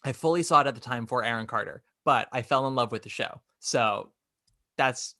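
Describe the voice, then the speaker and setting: Bright, candid young New man. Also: mid-range voice, normal speed, no echo, studio quality. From New York. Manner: candid, chatty, opinionated. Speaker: podcast host. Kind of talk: casual podcast interview.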